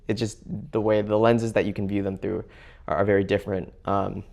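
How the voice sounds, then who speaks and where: Low gravelly young man. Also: little pitch movement, a little mellow, highs cut off. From Seattle area, United States. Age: young adult. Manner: halting, many fillers and restarts. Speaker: interview guest. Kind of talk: casual conversation.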